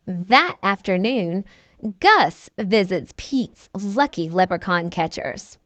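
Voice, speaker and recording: A woman reading a children's storybook aloud in an expressive, deliberate storytelling manner. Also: medium pitch, no room sound. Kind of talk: scripted read-aloud storybook narration.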